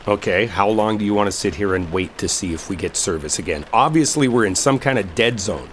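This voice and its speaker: A man's voice: on the low side, fairly quick, lightly acted. A man acting in a roleplay audio drama as an exasperated, sarcastic guy stranded with a coworker he dislikes. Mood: exasperated, insistent. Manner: sarcastic, heated, swearing. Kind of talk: roleplay audio drama, one side of an argument. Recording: steady rain noise behind voice. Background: rainstorm ambience.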